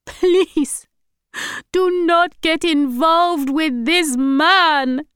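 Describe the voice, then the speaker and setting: A woman's voice: on the high side, expressive, takes it slowly, clean tone, no echo, studio quality, pitched above the performer's own voice. A woman voicing a devout, upset grandmother of eighty-five with a solemn, preachy, emphatic delivery. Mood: tearful pleading. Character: devout, upset 85-year-old grandmother, warning dramatically.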